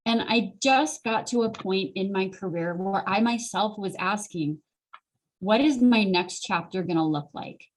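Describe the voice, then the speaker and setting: A middle-aged woman presents a motivational career webinar over a video call. Her pitch sits in an average range for a woman, and she talks in an earnest, encouraging, conversational way straight to her listeners. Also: rough recording. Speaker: host and career coach.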